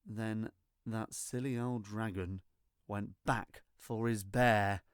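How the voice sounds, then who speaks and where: A storyteller reading a rhyming children's storybook in an expressive, theatrical and deliberate way. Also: mid-range voice, clear, resonant tone, dry recording, studio quality. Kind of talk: scripted storybook narration in rhyme.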